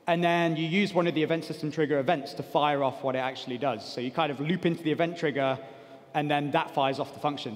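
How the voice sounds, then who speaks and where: Higher-voiced man speaking in a reverberant hall. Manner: casual, explanatory, peppered with "like" and "kind of". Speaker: conference speaker. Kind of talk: informal technical talk with audience Q&A. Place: large conference hall stage. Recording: big reverberant hall PA sound.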